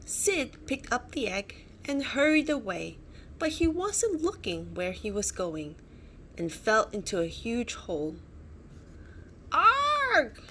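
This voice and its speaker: A woman narrating a children's bedtime story as a storybook reader, in an animated style. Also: medium pitch, unhurried, a little hiss or hum.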